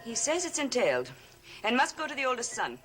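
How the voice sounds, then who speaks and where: An actress playing a calculating, questioning hostess with an occult bent in a gothic television soap, speaking deliberately. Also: female voice, on the high side, big pitch swings, fairly bright tone, faint music behind. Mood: probing.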